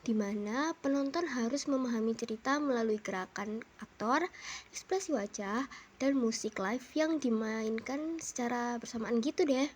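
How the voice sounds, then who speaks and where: A young woman with a hoarse voice, talking casually as a student presenter in a voice-over lesson. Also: a little high, normal speed. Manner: chatty, peppers talk with "nah" and "gitu deh". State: hoarse, a bit unwell. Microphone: home-recorded dubbing.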